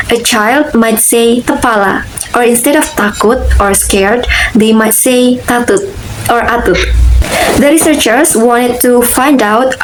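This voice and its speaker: A young woman presents a study to her class in a steady, plain explaining manner. Her voice sits slightly high, with a faint hiss under the recording. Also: low-quality recording. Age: young adult. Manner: steady, explaining in simple terms. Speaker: student presenter.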